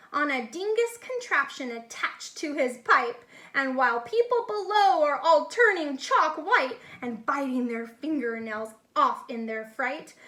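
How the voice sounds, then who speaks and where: A woman reading a picture book aloud expressively in an animated, deliberate way, with explanatory asides for young listeners. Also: high voice. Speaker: children's read-aloud storyteller, teacher-like.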